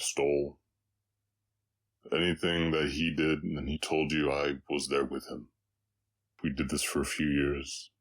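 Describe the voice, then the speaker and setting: Male actor as a brooding orc outlaw, extremely low pitch, slight Southern drawl, halting, somber, remorseful, intimate roleplay audio. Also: heavily acted. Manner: halting, somber, long pauses. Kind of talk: intimate scripted roleplay audio, confessional storytelling.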